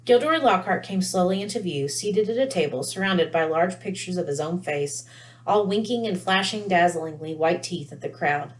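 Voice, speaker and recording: A woman reading a children's novel aloud in an expressive storytelling style. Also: fairly low pitch, normal speed, low-quality recording. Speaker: read-aloud reader.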